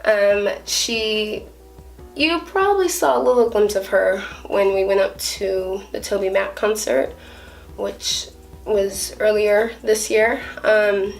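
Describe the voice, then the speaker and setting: A young woman speaks to her vlog audience in an earnest, chatty way, restarting a phrase now and then. Her pitch sits in a medium range. She is picked up from a little way back from the mic. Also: slow, gravelly, distant mic, soft background music, low-quality recording. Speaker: vlogger. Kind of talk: casual vlog talk to camera.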